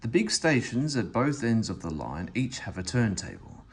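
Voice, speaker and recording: A man narrating a children's storybook aloud in an expressive, animated way. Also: medium pitch, normal speed, slight background noise. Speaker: story reader.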